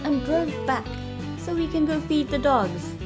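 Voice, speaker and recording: A woman's voice: high voice, animated, measured pace. A young adult woman with a South African accent, chatting and narrating casually as a travel vlogger. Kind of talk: casual vlog narration and chat. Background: music.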